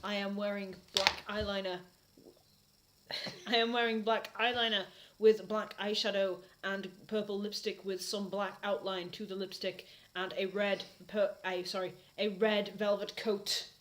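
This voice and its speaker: British female co-host, sharp forceful voice, mock-serious casual banter for a comedy reading show. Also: mid-range voice, little pitch movement, unhurried, slight background noise.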